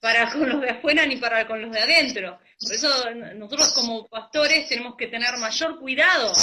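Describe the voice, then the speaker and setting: A woman's voice: on the high side, a little fast, bright, forceful tone. A woman pastor speaking firmly and emphatically about church leadership. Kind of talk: doctrinal talk with brief affirmations.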